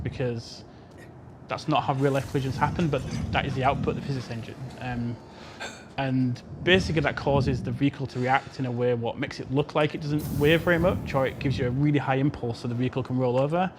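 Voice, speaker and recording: Fast-talking man over loud engine noise. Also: fairly even intonation. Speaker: game developer answering questions. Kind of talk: casual technical explanation. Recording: loud vehicle rumble and game noise behind.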